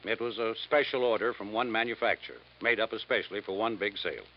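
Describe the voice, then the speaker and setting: An actor playing a matter-of-fact insurance man, measured and businesslike, in a 1950s radio drama. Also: fairly low pitch, slight background noise, close to an everyday voice. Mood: dry, businesslike. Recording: dull 1950s radio recording.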